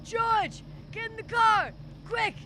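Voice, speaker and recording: Very high, frantic girl playing an uncle. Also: takes it slowly, sharp tone, a little hiss or hum, full character voice. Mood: panicked. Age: young adult. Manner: urgent, breathless calling out. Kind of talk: student radio drama acting.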